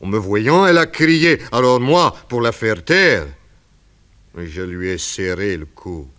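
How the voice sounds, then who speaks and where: A tormented Russian aristocrat who has killed for love. His lowish voice moves very slowly and swings widely in pitch, with a Russian accent and halting, theatrical phrasing from 1960s radio drama. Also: expressive, fairly bright tone. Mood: anguished confession. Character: desperate, lovesick Russian émigré aristocrat, a confessed murderer.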